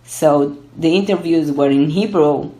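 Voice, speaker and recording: A young woman from Argentina speaks English with an Argentine Spanish accent, her first language being Spanish. Her voice sits low and she talks at an unhurried pace, pausing often with fillers and restarting phrases as she answers questions. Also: warm tone, poor audio. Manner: hesitant, many fillers and restarts. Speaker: guest, gerontology researcher. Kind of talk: casual interview.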